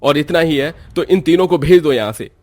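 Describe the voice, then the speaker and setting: A man's voice: fairly high pitch, fairly quick, voice pushed up, natural voice. A man voicing a protective young husband in a cartoon story, heated and reproachful. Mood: angry, reproachful. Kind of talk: acted Hindi cartoon moral story.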